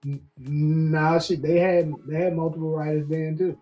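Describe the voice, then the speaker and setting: A man co-hosting a casual TV show discussion, relaxed and quick to laugh, reading out viewer comments. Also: fairly high pitch. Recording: faint music behind.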